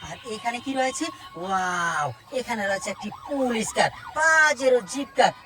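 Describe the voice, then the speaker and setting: A woman's voice: fairly high pitch, expressive, normal speed. A woman presenting toys to viewers in an exclamatory way, with short phrases and frequent wows. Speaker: presenter of a toy video. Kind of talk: toy showcase narration for viewers.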